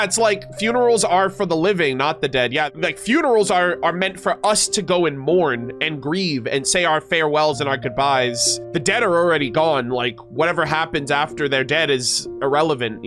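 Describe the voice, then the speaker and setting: This is a man with a fairly high voice that sounds stuffed up from a cold. He streams casually, moving between reading aloud and heartfelt, emotional commentary. Instrumental music plays behind him. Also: fairly bright tone. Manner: casual, earnest, swearing. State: congested from a cold. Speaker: streamer reacting to and reading out game lore.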